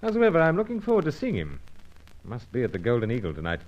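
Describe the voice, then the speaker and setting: An actor playing a wry, loyal British secret agent with clipped, understated speech in an old-fashioned radio serial. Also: male voice, mid-range voice, huge pitch swings, fast talker, highs cut off. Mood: dry, keen. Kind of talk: old-fashioned radio serial acting and first-person narration.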